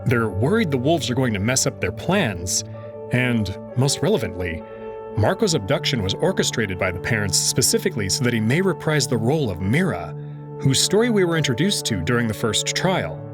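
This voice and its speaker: Expressive male narrator over music. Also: mid-range voice, moderate pace. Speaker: narrator and commentator. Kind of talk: expressive reading of a visual novel with commentary. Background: music under the voice.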